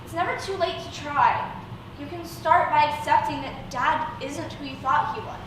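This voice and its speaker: A woman's voice: sits high, moderate pace, fairly bright tone. A woman playing a hurt, resentful but dutiful sibling, speaking earnestly and emotionally in an amateur stage drama. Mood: indignant. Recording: echoey room from far off, noisy.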